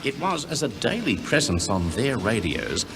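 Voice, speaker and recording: Very deep, expressive Australian man, reverberant. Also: moderate pace, music in the background. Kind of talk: scripted tribute narration. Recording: long reverberant hall sound.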